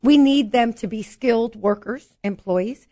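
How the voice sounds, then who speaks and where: A woman's voice: on the high side, measured pace, mellow. Upbeat Brooklyn woman explaining things. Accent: New York. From New York, Brooklyn. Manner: upbeat, explanatory, restarts often. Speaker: nonprofit guest. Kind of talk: casual chat.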